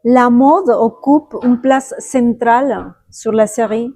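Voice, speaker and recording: A woman interviewer asks questions and answers with quick, eager reactions. Her voice is fairly high but mellow and warm. The sound is dry and close. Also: dry recording. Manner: enthusiastic, frequent short reactions.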